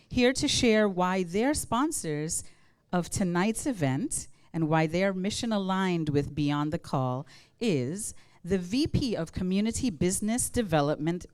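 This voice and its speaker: A Guyanese woman hosts a live event, cheerfully coaxing the crowd to take part. Her voice is lowish and smooth. The sound is close and dry despite the large room. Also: darkish tone, no room sound. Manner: upbeat, coaxing the audience to join in. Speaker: master of ceremonies. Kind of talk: lively event hosting.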